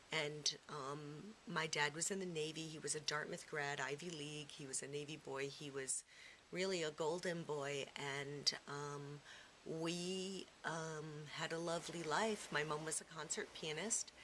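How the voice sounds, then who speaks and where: A woman's voice: low-pitched, fairly even intonation, a little slow, fairly bright tone. Middle-aged woman, reflective, noisy recording. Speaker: theatre performer being interviewed. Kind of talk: reflective personal interview talk. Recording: clearly noisy room recording.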